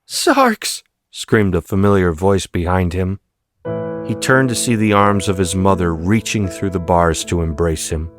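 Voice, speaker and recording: A man's voice: sits low, steady tone, moderate pace. A man giving a dramatic, scripted audiobook narration of a story, with music behind him.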